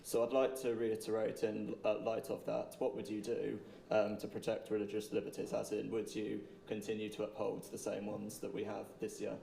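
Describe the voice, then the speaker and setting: A man with a low, dark, gravelly voice that barely rises or falls. He speaks haltingly with many fillers, and his question carries through a roomy, echoing hall with a faint hiss behind it. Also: completely flat delivery. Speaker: student asking a question. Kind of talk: question from the audience. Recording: echoey hall with some noise.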